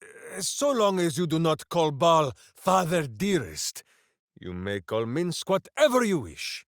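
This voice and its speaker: Boisterous hamster-loving ranger; measured, deliberate delivery, voice leaps wildly in pitch. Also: male voice, sing-song, dry recording, clean studio sound. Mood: heroic. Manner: booming and theatrical, refers to himself in third person. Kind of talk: video game voice lines.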